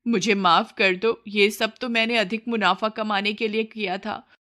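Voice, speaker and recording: A woman's voice: mid-range voice, fairly even intonation, unhurried, bright, forceful tone. A woman voicing a greedy, sly village wife and mother in a cartoon story, with exclamatory, pleading speech. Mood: anxious, remorseful.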